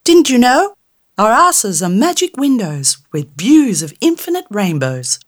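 Woman voicing a shrill storybook fairy, crude and impatient, pitched way up. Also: big pitch swings, measured pace. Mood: cranky, cheeky. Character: tiny rude foul-mouthed immortal fairy.

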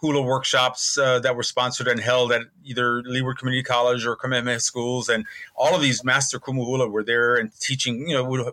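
A man's voice: mid-range voice, fairly bright tone. A man in his sixties from Hawaii, telling stories reflectively and explaining earnestly as a guest in a conversational interview. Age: 60s. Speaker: podcast guest, botanical curator and hula dancer.

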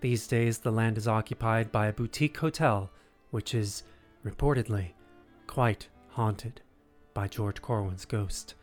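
A man narrating scripted history as a measured, dramatic storyteller. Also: lowish voice. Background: music.

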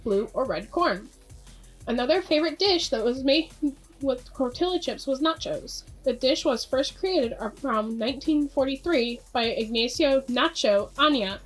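A woman presenting a podcast news segment, reading from a script. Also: sits high.

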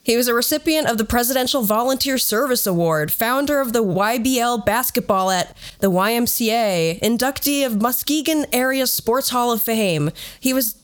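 A woman's voice: a little high, moderate pace, hardly any pauses. A woman hosting a podcast, telling stories in a casual, conversational way with a sardonic edge and some swearing. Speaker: podcast host.

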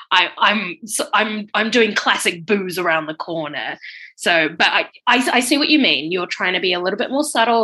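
A woman's voice: medium pitch, sharp tone. A woman playing an eager, kind-hearted newcomer ghost in an improvised comedy sketch, chatty and a little hesitant.

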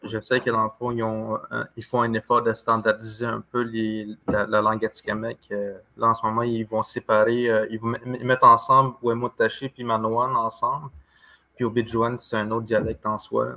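A man with a Quebec French accent co-hosting a podcast, talking casually in a hesitant, halting way. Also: on the low side, flat delivery, sharp tone. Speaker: podcast co-host. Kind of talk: casual conversation.

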